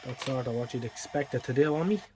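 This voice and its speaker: A man's voice: mid-range voice, fast talker. An Irish man gives casual hands-on repair commentary in a wry, self-deprecating way, muttering to himself as he works. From Ireland. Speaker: electronics repair hobbyist presenting. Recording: clearly noisy home video recording.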